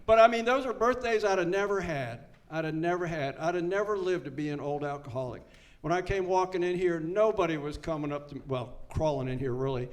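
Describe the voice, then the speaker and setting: A man's voice: a little high, brisk. A man in his seventies telling his own story to a recovery meeting, folksy and earnest, repeating his key lines for emphasis. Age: 70s. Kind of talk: personal story told to a live meeting.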